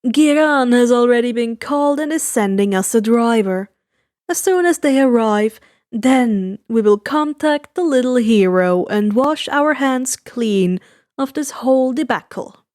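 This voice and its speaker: Storybook magician: fussy gentleman, formal and easily affronted, light high voice, performed by a woman. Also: animated, measured pace, dry recording, clean studio sound. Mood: fed up. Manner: formal, prim, long-suffering.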